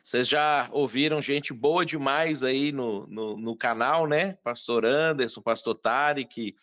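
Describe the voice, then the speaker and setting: A man's voice: mid-range voice, a bit bright, no echo. Earnest pastor in his thirties, dry muffled audio. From Brazil, Minas Gerais. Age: 30s. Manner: measured, earnest, with frequent restarts. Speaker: pastor, guest. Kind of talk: interview, telling his life story.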